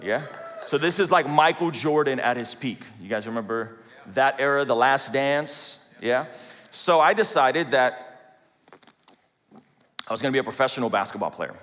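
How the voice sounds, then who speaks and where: A man's voice: medium pitch, normal speed, fairly bright tone. A man in his forties from Azerbaijan, telling his life story with self-deprecating jokes as a conference speaker. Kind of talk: personal story talk to a conference audience. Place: large conference hall. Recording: long hall reverb.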